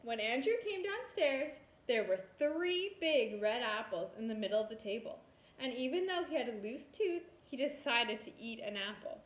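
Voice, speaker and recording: A woman's voice: fairly high pitch, expressive, moderate pace. A woman kindergarten teacher reading a storybook aloud expressively, talking directly to young children. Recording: roomy echo.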